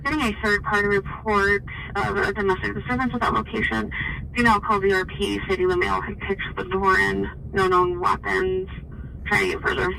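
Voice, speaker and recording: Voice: mid-range voice, level tone, fairly quick, gravelly. An American emergency dispatcher giving a clipped, procedural call over a police scanner radio. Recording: noisy scanner radio in a vehicle.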